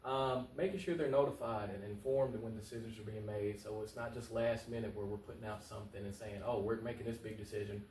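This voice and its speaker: Man, candidate being interviewed, rapid speech, emphatic and colloquial, distant mic with faint noise. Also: fairly low pitch, steady tone, darkish tone, reverberant. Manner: colloquial, emphatic, repeats points for stress. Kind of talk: casual, conversational interview answers.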